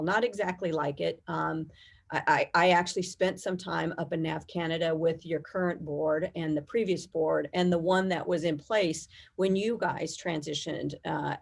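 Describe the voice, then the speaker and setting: A middle-aged woman with an American accent, giving measured, detailed explanations in a conversational interview. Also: fairly low pitch, vocal fry, darkish tone. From United States. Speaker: guest, air traffic controllers' union executive.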